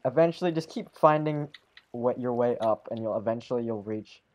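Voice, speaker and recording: A man's voice: medium pitch, darkish tone. A man playing a video game with friends, rambling and correcting himself as he talks. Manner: rambling, self-correcting, quick exclamations. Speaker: game player. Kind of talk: casual gaming chat.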